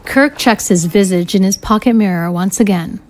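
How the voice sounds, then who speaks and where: A woman's voice: mid-range voice, normal speed, a little mellow. A woman narrating scene directions from a screenplay in scripted style.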